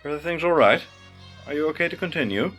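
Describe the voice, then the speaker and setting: A man playing a clinical, suspicious male biomancer with a brisk, questioning manner in a fantasy audio drama. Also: fairly high pitch, lively intonation, a bit bright, soft background music. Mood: concerned. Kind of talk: audio fiction character acting.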